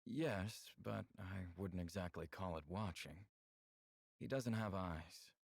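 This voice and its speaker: A man playing a bold, seductive alien suitor in a visual novel, speaking with calm, teasing assurance. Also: deep voice, slow pace, creaky, slightly rough, dry recording, clean studio sound, exaggerated voice. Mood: calm, explaining. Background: game music.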